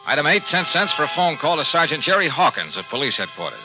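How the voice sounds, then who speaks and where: A man's voice: on the high side, big pitch swings, quick pace. An American man acting a wry, tough insurance investigator in a golden-age radio detective drama, with clipped delivery. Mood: dry narration. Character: hardboiled, wry male freelance insurance investigator. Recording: dull vintage radio recording, music behind.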